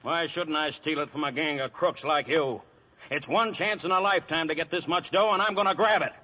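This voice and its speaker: Sharp, high-pitched crooked American police chief. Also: male voice, fairly quick. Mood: smug, threatening. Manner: gruff, barking orders in gangster slang. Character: crooked, bullying police chief grabbing stolen money. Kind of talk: 1930s-40s radio comedy acting. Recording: dull vintage radio broadcast.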